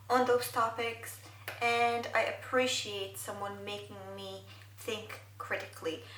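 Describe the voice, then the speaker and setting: Young woman, Arabic native, beauty vlogger; bright, slightly high voice, roomy echo from a camera mic set back. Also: distant mic. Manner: thoughtful, wordy, many fillers. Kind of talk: get-ready-with-me makeup chat. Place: bedroom, indoors.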